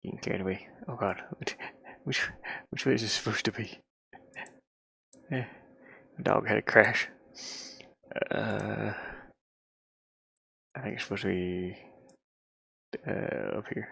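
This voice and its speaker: A tired-sounding man with a gravelly voice, giving casual, rambling commentary on his game development, muttering to himself with playful sing-song asides. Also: on the low side, fairly even intonation, unhurried, long pauses, sharp tone, no room sound. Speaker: indie game developer narrating own work.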